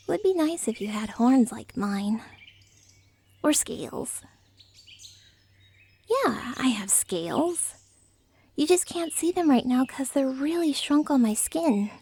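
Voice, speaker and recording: A woman voicing a friendly, naive, excitable dragon in humanoid form, chatty and playful in a soft ASMR roleplay style. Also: fairly high pitch, breathy edge, exaggerated voice. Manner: chatty, playful, casually blunt about eating people.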